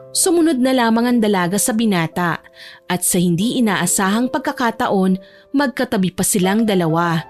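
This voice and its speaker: A woman reading scripted narration for an audio romance drama as the storyteller. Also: medium pitch.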